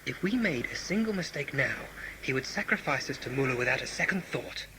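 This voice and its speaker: A man's voice: mid-range voice, expressive, a little fast, lightly acted. A man acting a scheming, ambitious conspirator in a sci-fi audio drama, intense, formal and persuasive. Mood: scheming, urgent. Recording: noisy amateur audio play recording.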